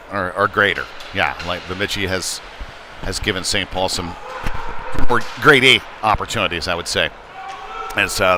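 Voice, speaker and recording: A man doing live hockey play-by-play in a conversational, folksy way with hesitant pauses. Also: on the low side. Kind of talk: live sports commentary. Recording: noisy indoor rink ambience.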